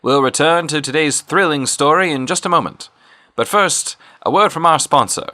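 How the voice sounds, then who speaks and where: A man as a bright, emphatic radio-serial announcer. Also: on the high side, moderate pace. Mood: upbeat, selling. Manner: salesmanlike, emphatic. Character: polished, upbeat male announcer pitching a sponsor's product.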